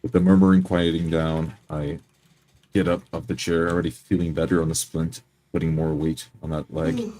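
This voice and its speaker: A man's voice: very deep voice, little pitch movement, moderate pace, dark tone. A man narrating his character's actions as a player in a tabletop roleplaying game. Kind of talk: first-person roleplay narration.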